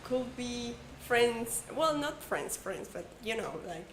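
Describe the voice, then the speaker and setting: A woman from Mexico with a Mexican accent and Spanish as her first language, talking haltingly as a guest and searching for her words. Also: on the high side, expressive, fairly bright tone, reverberant, slight background noise, poor audio. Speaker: guest, former call center agent. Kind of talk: casual conversation practice.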